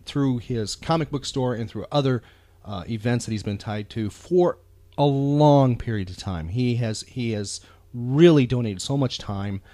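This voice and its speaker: A man hosting a casual talk show, speaking earnestly and haltingly, often repeating words as he goes. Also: medium pitch, dark tone, no echo. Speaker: podcast host.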